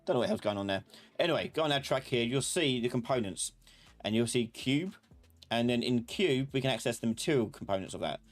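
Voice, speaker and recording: A man giving a live software tutorial as an instructor, informally thinking aloud and talking to himself as he works. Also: mid-range voice, lively intonation, a little fast, faint music behind. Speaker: instructor of a live online class. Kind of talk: live software tutorial, explaining while working.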